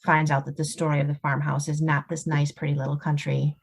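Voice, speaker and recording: A woman's voice: deep voice, flat delivery, brisk, creaky, breathy edge. A woman speaking as a guest author in a casual interview, explaining things in a storytelling way with examples. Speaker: guest novelist.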